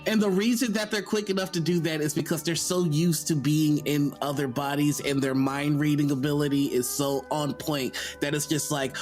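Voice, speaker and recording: A man's voice: a little high, fairly even intonation, normal speed, few pauses, darkish tone. A man speaking as a panelist in a casual podcast discussion about tabletop games, over music.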